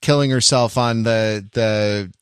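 A man co-hosting a podcast, chatting casually in a halting, wry and self-deprecating way. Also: lowish voice, smooth, no room sound, studio quality. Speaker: podcast co-host. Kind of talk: casual chat.

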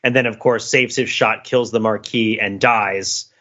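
A man's voice: lowish voice, steady tone, measured pace, pushed and bright, highs cut off. A man co-hosting a podcast, talking through a film in a casual, rambling, analytical way. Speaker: podcast co-host.

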